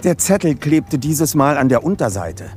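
A man's voice: fairly high pitch, big pitch swings, moderate pace, darkish tone, faint music behind. A man playing a self-assured, bookish teenage detective leader in a German audio drama, speaking precisely and formally. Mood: matter-of-fact. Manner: precise, bookish, matter-of-fact.

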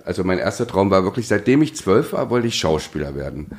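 Deep-voiced, chatty man co-hosting. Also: sing-song, quick pace. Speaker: podcast co-host. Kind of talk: casual chat.

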